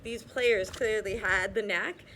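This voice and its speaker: A young woman reading a rhyming picture book aloud at a steady, rhythmic pace, now and then stumbling and restarting a word. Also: medium pitch, takes it slowly, a little hiss or hum. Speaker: reader.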